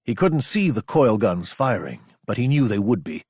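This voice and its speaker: A man narrates an action audiobook with a husky edge to his mid-range voice. His delivery is scripted, deliberate and dramatic. The sound is dry, with no room around it. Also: fairly low pitch, sing-song, a little mellow, no room sound.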